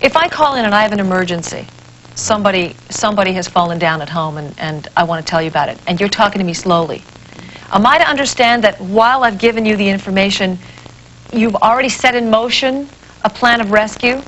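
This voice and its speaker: A woman's voice: mid-range voice, brisk, fairly bright tone, low-quality recording. A woman hosting a radio call-in show, interviewing guests and taking calls in a conversational way. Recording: faint hiss, old broadcast tape.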